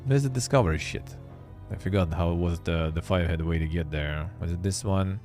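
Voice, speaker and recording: A man's voice: sits low, brisk, darkish tone, faint music behind. A man hosting a live stream, chatting offhandedly and reading text aloud with wry asides. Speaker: streamer and show host. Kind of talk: casual live-stream commentary.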